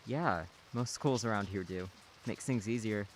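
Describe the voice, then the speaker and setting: A young man playing a relaxed, friendly teenage boy neighbor in a radio play. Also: lowish voice, moderate pace, a little hiss or hum, lightly acted. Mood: easygoing. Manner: relaxed, friendly, casual slang.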